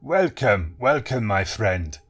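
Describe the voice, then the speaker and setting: A man voicing an eccentric middle-aged male hypnotist with an Eastern European accent, theatrical and booming. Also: sing-song, slow, slightly rough, exaggerated voice. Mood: effusive. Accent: Eastern European, put on. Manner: theatrical, booming, prone to wild laughter.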